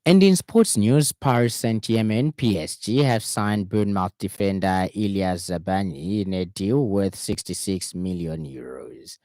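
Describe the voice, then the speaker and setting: A man with a Zambian accent reading a scripted radio news bulletin in a formal, measured announcer's delivery. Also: deep voice, dark tone, dry recording, studio quality. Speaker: radio newsreader. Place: radio studio.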